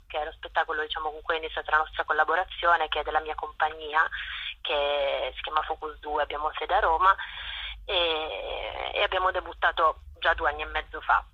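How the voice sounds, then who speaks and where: A woman's voice: deep voice, bright, forceful tone, slightly rough. A woman being interviewed as a theatre director, explaining casually and often checking with little tag questions, over a phone call. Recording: dull, roomy radio phone-in sound.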